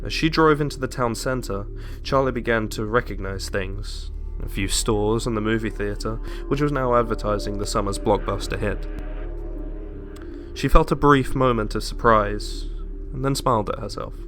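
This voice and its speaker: A man giving a dramatic, deliberate audiobook narration of a suspenseful horror story. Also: fairly low pitch, fairly bright tone. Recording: music under the voice.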